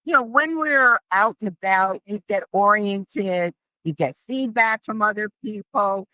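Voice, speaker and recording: A woman's voice: medium pitch, animated, measured pace, sharp tone, no echo, dull audio. A middle-aged woman speaking as an interview guest and care specialist, explaining and advising emphatically and repeating phrases for stress.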